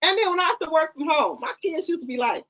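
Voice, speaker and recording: A woman's voice: high-pitched, lively intonation, fast talker, fairly bright tone. A middle-aged woman hosting casual commentary, blunt and lecturing, mixing slang into her talk. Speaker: commentary host.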